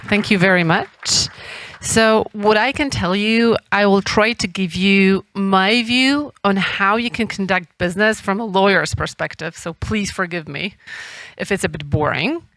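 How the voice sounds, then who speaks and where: A woman lawyer explains business matters at a seminar in a bright voice. She talks in a lightly self-deprecating, explanatory way. The recording is dry and close. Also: mid-range voice, measured pace, dry recording. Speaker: lawyer, head of legal department. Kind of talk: seminar presentation. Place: conference room.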